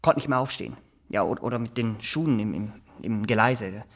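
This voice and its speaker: An actor playing an eager, simple barber in a radio drama, halting and repetitive. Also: male voice, fairly low pitch. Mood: morbid, proud. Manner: halting, repeats himself, insistent. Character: eager simple Swiss barber, boastful, morbidly fascinated. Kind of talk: naturalistic radio drama dialogue.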